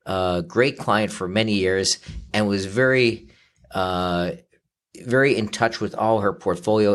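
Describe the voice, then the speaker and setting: A man in his fifties, a financial advisor explaining things in a conversational talk, steady and explanatory with frequent fillers. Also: lowish voice. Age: 50s. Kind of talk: conversational interview.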